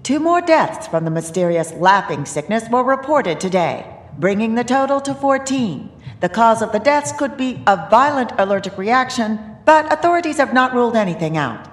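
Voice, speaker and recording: Woman playing high-voiced, echoey news anchor. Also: very animated. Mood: formal. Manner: formal, measured newsreading. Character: poised female TV news anchor. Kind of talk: newsreader acting in audio drama. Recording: echoey, long reverb.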